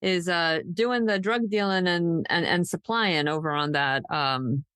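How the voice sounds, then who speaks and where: A woman's voice: fairly low pitch, unhurried, fairly bright tone, clear, resonant tone, dry recording, clean studio sound. A woman hosting a true crime podcast in a casual, loosely unscripted, conversational way. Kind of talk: casual co-hosted podcast chat.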